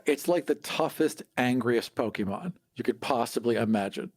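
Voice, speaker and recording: A man's voice: medium pitch, expressive, moderate pace, slightly rough. A man co-hosting a podcast, chatting casually and playfully about tabletop games in a conversational way. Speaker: podcast host.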